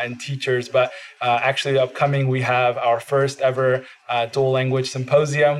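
Flat-toned man, earnest interview guest. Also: medium pitch. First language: Spanish. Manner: earnest, steady, frequent "uh" fillers. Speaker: podcast guest, bilingual education advocate. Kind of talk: casual interview talk. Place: studio.

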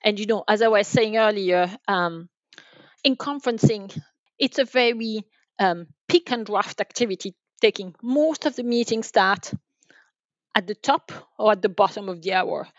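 A woman explaining things as an expert guest in a podcast interview, haltingly, often repeating and rephrasing her phrases. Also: mid-range voice, no room sound, highs cut off. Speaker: guest, enterprise sales manager.

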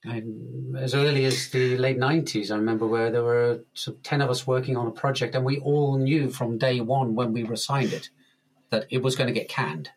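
Relaxed chuckling male podcast guest. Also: medium pitch, normal speed, rough recording. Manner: relaxed, chuckles often, uses analogies. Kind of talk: casual interview talk.

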